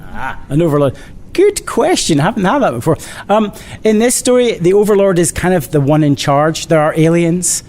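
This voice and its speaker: A man's voice: very animated, moderate pace, darkish tone. A man answering interview questions as a children's author, in a casual way with dry, playful humour. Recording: clear background noise, low rumble.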